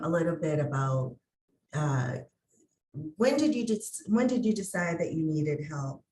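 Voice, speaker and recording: A woman's voice: sits low, slight background noise. A woman hosting an interview as a coach, in a conversational style. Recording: roomy echo, distant from mic.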